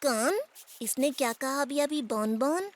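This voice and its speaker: A woman voicing a mischievous, excitable young boy bear cub in a children's cartoon, boastful and playful. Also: high voice, unhurried. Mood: curious. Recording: loud children's music behind voice. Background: loud children's music.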